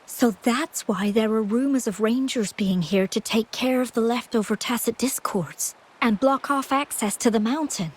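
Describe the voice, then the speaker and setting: Gentle, anxious young woman, high breathy voice. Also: normal speed, few pauses. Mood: somber. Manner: soft, hesitant, stammering, polite. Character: anxious, gentle, ailing young woman devoted to her lover. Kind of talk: video game voice acting.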